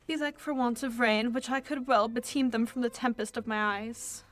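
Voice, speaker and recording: A woman's voice: a little high, steady tone, fairly bright tone, no echo, lightly acted. A young woman playing a devoted young Athenian lady in love, reciting Shakespearean verse earnestly in a radio play. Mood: sorrowful, resolute. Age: young adult. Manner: earnest, measured verse delivery.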